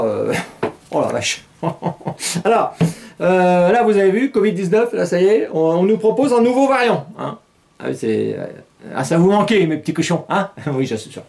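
Middle-aged male satirical host, mocking, chuckling, animated and fairly high-pitched. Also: gravelly. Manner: sarcastic, laughs often, animated gestures. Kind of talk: mocking casual commentary. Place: small studio with green-screen news set.

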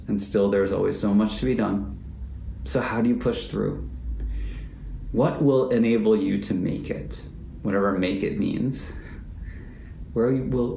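A male pastor delivers a thoughtful sermon in a heavily creaky, gravelly voice with a mellow tone. He speaks deliberately and leans on repetition for emphasis. The room adds noticeable echo around his words. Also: fairly low pitch. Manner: measured, deliberate, repeats phrases for emphasis. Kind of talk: reflective sermon to camera. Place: book-lined home office or study, indoors. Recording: roomy echo, faint background noise.

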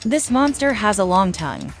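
A woman's voice: medium pitch, sing-song, moderate pace. A woman hosting a quiz in scripted voice-over narration. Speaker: quiz host.

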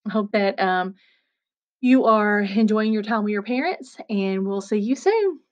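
Playful teacher reading aloud to kids. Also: female voice, mid-range voice, a little slow, muffled recording. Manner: playful, expressive, chatty asides to children. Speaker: second-grade teacher. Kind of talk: reading a picture book aloud. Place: small attic room at home. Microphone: webcam at desk.

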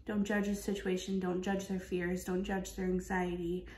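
A woman's voice: lowish voice, little pitch movement, normal speed, warm tone, a little hiss or hum. A woman in her twenties talking casually to camera as a vlogger, in an earnest way with emphatic repetition. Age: 20s. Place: kitchen of an apartment, indoors. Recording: echoey indoor room.

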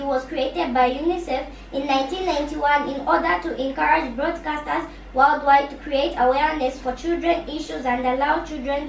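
A young female school pupil with a Zambian accent reading scripted radio news. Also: high-pitched. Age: teenage school pupil. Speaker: pupil newsreader. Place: small radio studio, recorded by camera. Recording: echoey, distant mic, faint noise.

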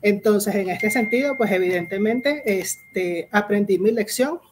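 A woman's voice: medium pitch, a little slow, noisy background. Venezuelan woman giving an online workshop. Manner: explanatory, addresses the audience directly, frequent fillers "este" and "eh". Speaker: agile coach presenting a workshop. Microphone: video call.

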